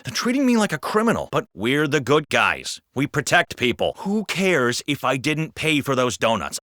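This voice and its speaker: A man with an American accent playing a wisecracking, foul-mouthed city cop in video game banter, colloquial and expressive. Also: mid-range voice, dry recording, studio quality, heavily acted. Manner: expressive, colloquial, comically exaggerated.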